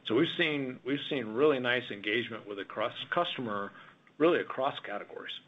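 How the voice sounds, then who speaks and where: A man, a company executive, answering analysts on an earnings call in a relaxed, conversational way. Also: medium pitch, brisk. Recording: dull conference line.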